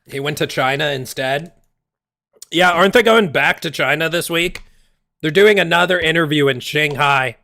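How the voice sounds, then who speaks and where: A male streamer rants in a heated, exasperated way, cursing freely as his voice goes hoarse. His voice sits a bit high with a gravelly fry. The sound is dry and close, with no room echo. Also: moderate pace, bright, forceful tone. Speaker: game streamer. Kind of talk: casual livestream rant.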